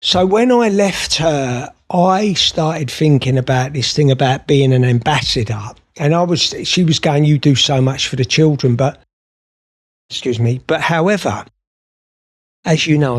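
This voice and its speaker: A man guesting on a podcast, talking openly and at length with many fillers and restarts. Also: a little high. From Scotland. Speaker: podcast guest, therapist and author. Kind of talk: conversational interview.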